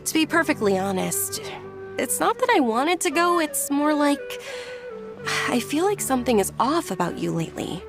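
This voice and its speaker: Brief: fairly high voice, an earnest young noble lady, game music behind. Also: very animated, normal speed. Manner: earnest, gently insistent. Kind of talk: video game voice acting. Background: game background music.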